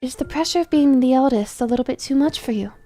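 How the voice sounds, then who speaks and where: A woman's voice: high voice, quick pace, mellow, soft background music. A woman playing an anxious mother in a drama, coaxing and fussing over her troubled eldest son with pleading insistence. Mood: worried, coaxing. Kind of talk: dramatic character acting.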